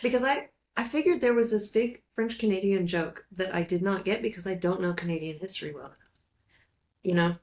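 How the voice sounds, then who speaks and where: A woman's voice: mid-range voice, brisk, darkish tone. A woman co-hosting a casual chat about films, thinking aloud in an informal way. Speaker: podcast co-host.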